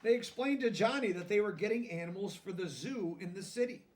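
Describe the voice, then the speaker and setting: A man with a noticeably high voice reads a children's storybook aloud. He goes deliberately through the text and explains it in simple, plain words. The recording sounds distant, as if he is well away from the microphone. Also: lively intonation. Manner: deliberate reading broken by softer, plain-worded explanations. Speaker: storybook reader. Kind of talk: children's picture book read-aloud with simple explanatory asides.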